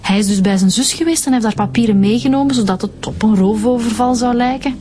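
An actress playing a keen, outspoken police detective in a radio drama, talking naturally with a Flemish accent. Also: female voice, on the high side, fast, mellow, close to an everyday voice. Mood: insistent.

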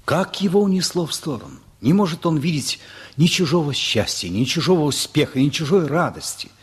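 An actor playing a gentle, thoughtful middle-aged husband with a husky voice in a radio play. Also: male voice, fairly high pitch, big pitch swings, fairly quick, full character voice. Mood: pensive.

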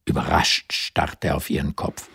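A man narrating a literary radio drama in a measured, intimate way with a gravelly, husky voice. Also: very deep voice, flat delivery, sharp tone, dry recording, studio quality, heavily acted.

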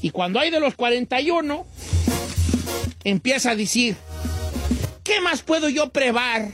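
A man's voice: extremely high pitch, expressive, a little slow, over music. A man voicing a folksy, cheeky older Mexican radio host, with slangy, mock-lecturing talk and a Mexican accent. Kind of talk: comedic radio talk with callers and co-hosts.

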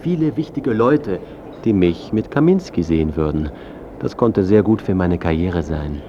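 An actor playing a pushy, self-important, scheming journalist who also narrates, by turns flustered, ingratiating and smug, in a radio drama. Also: male voice, deep voice, animated, moderate pace, warm tone, dull audio, a little hiss or hum. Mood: smug, calculating. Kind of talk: radio drama acting with first-person narration.

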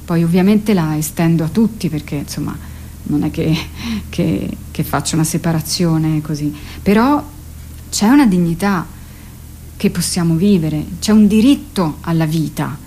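A woman's voice: deep voice, normal speed, warm tone, slight background noise. A woman speaking reflectively as a theatre artist in a radio interview, hesitant and searching for her words. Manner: hesitant, searching, thinking aloud. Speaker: guest, theatre artist and author.

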